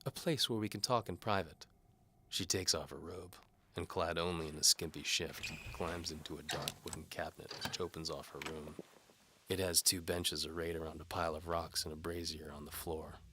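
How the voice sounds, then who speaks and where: A man's voice: rumbling low, flat delivery, moderate pace, background noise. A man reading dramatic first-person noir narration in a hard-boiled, wry manner.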